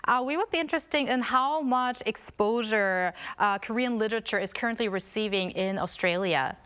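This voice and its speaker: A woman hosting a news show, asking interview questions hesitantly with frequent pauses. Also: on the high side, fairly bright tone, smooth. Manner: hesitant, many "uh" fillers.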